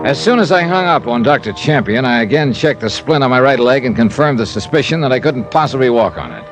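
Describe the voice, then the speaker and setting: A man's voice: mid-range voice, expressive, quick pace, natural voice, low-quality recording. A tough, wry American insurance sleuth , played by a male radio actor. His hard-boiled lines come clipped but with very mobile intonation. It sounds like a 1950s detective broadcast, with some music around it. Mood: brisk, dry. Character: wry, tough, dogged freelance insurance investigator.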